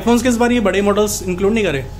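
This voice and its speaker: A man's voice: sits high, fast talker, crowd noise. A man hosting a casual promotional video, chatting and repeating points back for confirmation. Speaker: video host interviewing a phone dealer. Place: small office shop, behind the camera.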